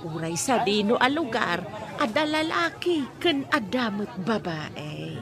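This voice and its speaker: A woman's voice: mid-range voice, very animated, a little slow, a crowd in the background. A woman with an Ilocano accent voicing a worried, scolding middle-aged mother in a radio drama.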